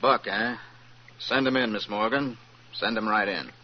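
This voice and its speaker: A man playing a calculating, smooth-talking crime boss, curt and commanding, in old-time radio drama style. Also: on the low side, unhurried, very noisy, lightly acted. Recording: muffled old radio recording.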